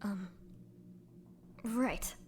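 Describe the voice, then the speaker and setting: A woman voicing a blunt but caring young woman in a fan audio drama, speaking frankly and gently, with the odd swear. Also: mid-range voice, very slow, a little hiss or hum. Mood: cautious.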